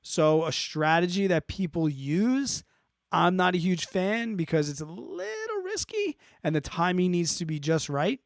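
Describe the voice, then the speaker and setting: A man's voice: high-pitched, sing-song, unhurried, vocal fry, dry recording, studio quality. A man hosting an investing live stream, explaining off the cuff in an informal, blunt way, thinking aloud and checking in as he answers viewer questions.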